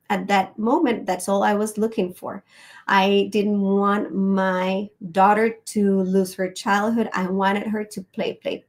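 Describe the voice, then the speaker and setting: Woman, middle-aged, webinar host, unhurried pace, friendly storytelling, frequent ums. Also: medium pitch, darkish tone. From Costa Rica. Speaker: educator and webinar presenter. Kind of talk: live webinar presentation.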